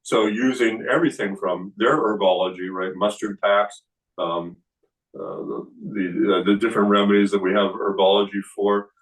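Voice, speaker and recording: A man in his sixties, a kung fu teacher, explaining health practices in a relaxed seminar talk with many fillers and restarts. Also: on the low side, moderate pace, distant mic, rough recording. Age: 60s. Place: indoor studio room.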